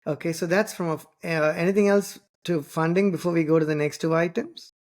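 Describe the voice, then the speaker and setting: A man from southern India whose first language is Tamil, interviewing a guest in a loose, conversational way. He talks around his points with frequent fillers and self-corrections, in a slightly higher-than-usual register. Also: fairly even intonation, fairly quick. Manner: rambling, many fillers and restarts. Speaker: podcast host.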